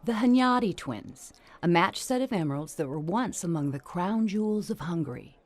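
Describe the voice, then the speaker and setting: A woman's voice: lowish voice, lively intonation, moderate pace, a little mellow. Brassy worldly older showgirl, swooping delivery. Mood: brisk. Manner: brassy, slangy, coaxing. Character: Julie, worldly older female ex-performer, brassy, motherly pal. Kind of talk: 1950s-style noir radio drama acting.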